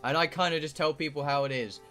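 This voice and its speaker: Bright-voiced British man chatting on stream. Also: a little high, fast talker, faint music behind. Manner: blunt, sweary, joking. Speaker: live streamer playing a visual novel. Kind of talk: casual rambling chat with viewers.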